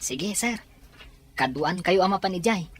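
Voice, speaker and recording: A woman's voice: fairly low pitch, expressive, unhurried. A woman playing a reluctant, easily surprised employee in an audio drama, exclaiming and grumbling in an Ilocano accent.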